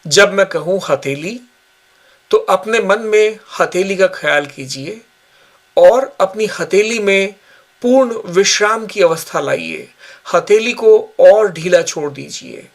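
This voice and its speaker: Slow-paced man guiding meditation, higher voice. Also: expressive. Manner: measured, instructional, pausing between short phrases. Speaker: yoga nidra instructor. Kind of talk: guided meditation, spoken instructions.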